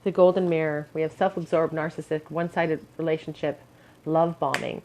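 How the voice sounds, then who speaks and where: A woman giving a pick-a-card tarot reading in a musing tone, her voice a little hoarse and scratchy, often clearing her throat. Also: deep voice, fairly even intonation, brisk, a little mellow. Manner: musing, hedges with "I feel". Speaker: tarot reader.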